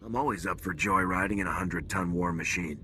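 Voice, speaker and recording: An actor playing a confident, wry special-forces major in a video game, speaking in terse, dry military phrases. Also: male voice, deep voice, fast, pushed and bright, slight background noise. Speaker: soldier, squad leader. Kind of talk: scripted video game dialogue.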